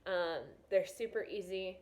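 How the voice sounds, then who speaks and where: A woman's voice: mid-range voice, steady tone, a little slow, a little mellow. A woman teacher reading a novel aloud to students, with casual, encouraging asides. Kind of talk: read-aloud of a children's novel with explanatory asides.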